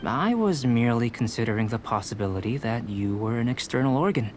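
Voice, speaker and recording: An actor playing a calm, inquisitive young male alchemist in a game cutscene, measured and polite. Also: mid-range voice, slight background noise, natural voice. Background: game music.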